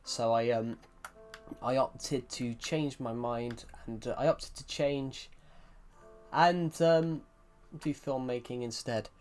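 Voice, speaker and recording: Rambling streamer with Southwest English accent. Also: male voice, mid-range voice, fairly even intonation, slow, a little mellow. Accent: Southwest England. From England. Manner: rambling, restarts a lot, reads chat aloud. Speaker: game streamer. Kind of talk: casual live-stream chat. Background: faint music and keyboard typing.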